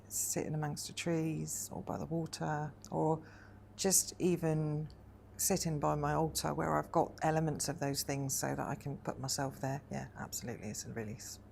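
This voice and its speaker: A woman in her forties from Wales with a low voice and a gravelly, creaky edge, reflecting on her life in an interview. She talks thoughtfully and hesitantly, filling pauses with ums, over a faint background ambience. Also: darkish tone, slight background noise. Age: 40s.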